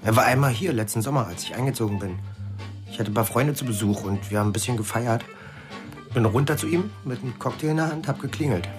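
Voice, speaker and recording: An easygoing neighbour played by an actor in a naturalistic radio drama. The talk is casual and a little halting, as memories come back, with music clearly playing behind. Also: medium pitch, natural voice. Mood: fond, casual. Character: easygoing, chatty neighbour recalling a party.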